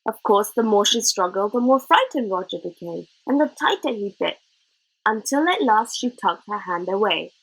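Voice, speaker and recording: A woman reading a children's novel aloud as narrator, in an expressive storybook style. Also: medium pitch, moderate pace, creaky, breathy edge, poor audio.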